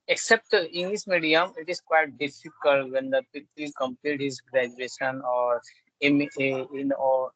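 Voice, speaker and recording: A man whose first language is Hindi or Bengali, talking in a casual English practice conversation, halting with many pauses and restarts. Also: a little high, fairly bright tone, dry recording, dull audio. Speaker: conversation partner.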